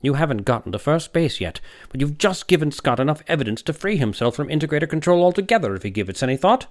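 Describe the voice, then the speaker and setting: A man voicing a young male doctor in an audiobook, sharp, skeptical and challenging. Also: a little high, very animated, quick pace, voice pushed up. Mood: scornful, angry. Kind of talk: audiobook character voice.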